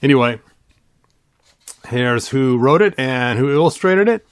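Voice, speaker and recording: Male storytime host, average pitch, casual and enthusiastic, playful asides, dry room-free recording. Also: lively intonation, measured pace, clean tone, no echo. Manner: playful, enthusiastic fan asides with vocal sound effects. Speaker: YouTube host reading a children's picture book aloud. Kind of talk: relaxed chatty read-through with commentary.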